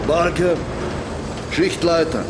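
A man's voice: a little high, steady tone, extremely slow, over loud music. A man acting a brusque, plain-spoken factory shift foreman in a German period radio drama. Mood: brusque, practical. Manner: brusque, matter-of-fact. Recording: loud factory and vehicle noise.

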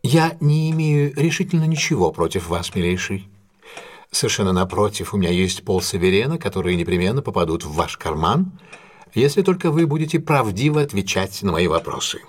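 An actor in an audio drama playing a shrewd, commanding gentleman detective, husky, courteous and intense, with theatrical deliberate delivery. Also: lowish voice, sing-song. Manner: theatrical, authoritative, deliberate questioning.

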